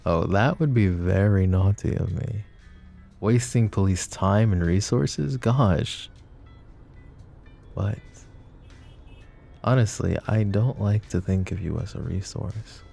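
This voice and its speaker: A man playing a suave, possessive mafia boss in an intimate roleplay, speaking with mock courtesy and a teasing drawl in a low, gravelly voice. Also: slow, long pauses, mellow, faint music behind, heavily acted. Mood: flirty, smug. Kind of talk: roleplay ASMR acting, intimate address to listener.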